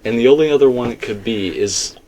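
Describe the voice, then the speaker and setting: A man's voice: medium pitch, a little fast, mellow, poor audio. A man talking casually and speculatively as a host, emphatic and rambling, often repeating himself. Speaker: video game theorist and host.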